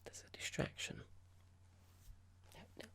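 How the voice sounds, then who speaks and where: An ASMR performer playing a cold, condescending boss in a roleplay, speaking softly in a measured, formal, halting and passive-aggressive way. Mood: dismissive, controlled. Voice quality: breathy, creaky whisper. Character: cold condescending boss about to fire an employee. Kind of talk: ASMR roleplay, whispered and soft-spoken.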